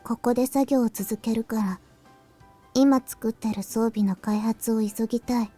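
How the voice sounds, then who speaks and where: A woman playing a quiet, stubborn schoolgirl genius in an anime visual novel, terse and hesitant in delivery. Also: fairly high pitch, steady tone, a little fast, lightly acted. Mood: quiet, matter-of-fact. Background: game music.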